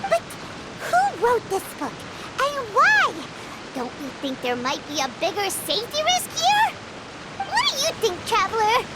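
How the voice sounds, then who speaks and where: A woman voicing a small, childlike, excitable girl companion in a video game, squeaky and blunt. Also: measured pace, heavy background noise, full character voice. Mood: worried. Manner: exclamatory, blunt. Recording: music behind the voice.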